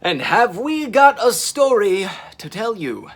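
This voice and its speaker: A man as an excitable young dinosaur captain, animated. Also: high-pitched, slow pace, voice pushed up, exaggerated voice. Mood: relieved, excited. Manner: emphatic, dramatic delivery. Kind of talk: children's storybook character reading.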